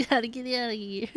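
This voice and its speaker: A woman chatting casually on a game livestream, giggly and reacting aloud to chat and game. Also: on the high side. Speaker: live streamer.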